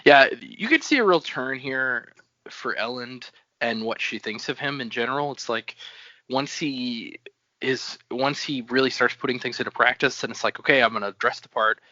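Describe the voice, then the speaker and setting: A man's voice: mid-range voice, normal speed, sharp tone, no echo, lo-fi sound. A man co-hosting a casual book podcast, talking in a chatty way and often restarting his sentences. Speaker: podcast co-host.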